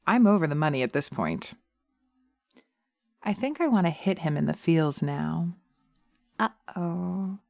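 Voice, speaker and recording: A sly witch as acted by a woman in a hypnosis ASMR roleplay, drawing out words slowly in a mellow, breathy voice that often sinks to a whisper. She coaxes, taunts and commands seductively, very close and dry on the microphone. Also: medium pitch, no echo. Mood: sly, amused. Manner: slow, coaxing, taunting, commanding. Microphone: close binaural microphone.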